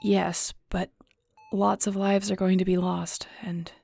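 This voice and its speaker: A woman's voice: medium pitch, level tone, takes it slowly, gravelly, dark tone, breathy edge, no echo, soft background music, heavily acted. A woman acting in an audio roleplay as a weary, guilt-ridden shifter investigator, speaking in a somber, conversational, confiding way. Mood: worried, heavy.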